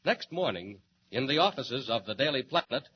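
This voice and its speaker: A male announcer narrating a 1940s radio serial with dramatic, booming cliffhanger delivery. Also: very animated, normal speed, lo-fi sound, slight background noise.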